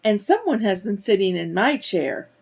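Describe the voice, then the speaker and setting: A woman's voice: medium pitch, sing-song, moderate pace, vocal fry, darkish tone, slightly rough, heavily acted. A woman voicing a medium-sized mother bear from a storybook, exclaiming indignantly.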